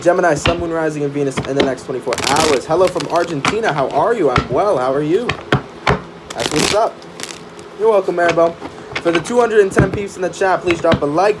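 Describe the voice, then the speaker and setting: Chatty man reading tarot, noisy line. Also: fairly high pitch. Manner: casual, chatty, frequent fillers and tag questions. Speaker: tarot reader. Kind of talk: live-streamed tarot reading. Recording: steady background noise.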